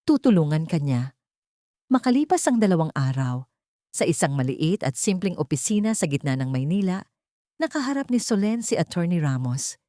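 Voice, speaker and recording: A woman's voice: lowish voice, very animated, fairly quick, mellow, dry recording, clean studio sound. A woman with a Filipino accent narrating a dramatic story as a scripted audiobook.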